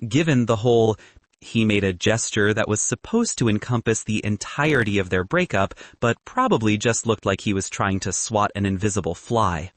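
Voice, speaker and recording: A man's voice: lowish voice, moderate pace, hardly any pauses, a bit bright, no room sound. A man narrating scripted comic fiction as an audiobook, with a wry manner.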